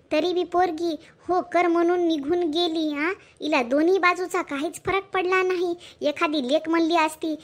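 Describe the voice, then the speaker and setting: A woman voicing a nagging rural mother who scolds her grown daughter with rapid, sarcastic talk. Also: sits high, lightly acted. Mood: grumbling.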